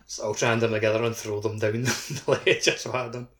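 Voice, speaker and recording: A man's voice: mid-range voice, flat delivery, a little fast, a bit bright. A man chatting informally as a co-host on a gaming podcast. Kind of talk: casual gaming podcast chat.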